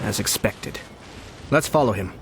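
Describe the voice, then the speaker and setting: An actor playing a composed, cunning noble lord in a video game, speaking in a measured, courteous and formal way. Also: male voice, lowish voice, lively intonation, noisy background.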